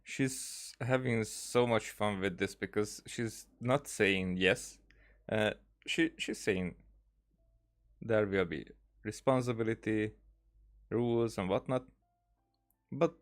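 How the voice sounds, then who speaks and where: A man giving casual gameplay commentary, thinking aloud in a halting way and often repeating words. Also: lowish voice. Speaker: game streamer.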